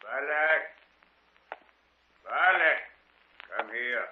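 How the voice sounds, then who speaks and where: A slow, eerie stranger. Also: male voice, medium pitch, lively intonation. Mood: calling out. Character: eerie soft-spoken male stranger with a dog. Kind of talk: 1940s radio acting. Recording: tinny old radio broadcast with hiss.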